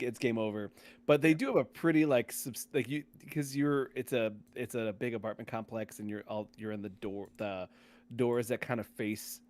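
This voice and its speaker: A man in a casual game discussion, explaining things in a loose, rambling way. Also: lowish voice. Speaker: podcast host.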